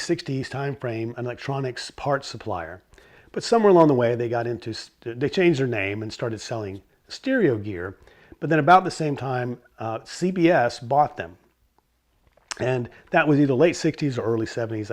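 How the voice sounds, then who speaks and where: Hoarse man hosting a gear talk. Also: mid-range voice. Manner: relaxed, rambling with fillers and self-corrections. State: hoarse from a cold. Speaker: host of a vintage stereo channel. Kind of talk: casual explainer talk.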